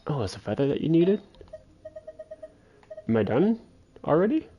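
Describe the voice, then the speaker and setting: A man's voice: fairly high pitch, sing-song, normal speed, muffled recording, slight background noise. A man casually chatting and muttering to himself as he livestreams a game. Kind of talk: casual gameplay chat.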